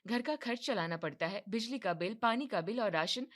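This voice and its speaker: A woman voicing a thrifty young wife who refuses money in a quiet, firm, matter-of-fact way. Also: medium pitch, expressive, normal speed, lightly acted. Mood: firm calm.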